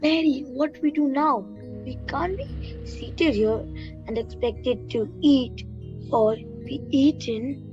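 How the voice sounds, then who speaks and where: A woman's voice: high voice, slow. A woman playing a frightened, questioning young boy in a student radio play, urgent and stumbling. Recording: dull lo-fi sound with music behind.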